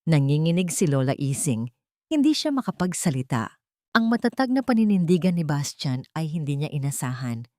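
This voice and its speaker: Low-pitched woman narrating a dramatic story. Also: big pitch swings, moderate pace, a little mellow, no echo, studio quality.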